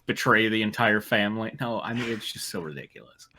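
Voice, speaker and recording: Bright-voiced man reading fan fiction. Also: lowish voice, brisk, a little hiss or hum. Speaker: narrator and commentator.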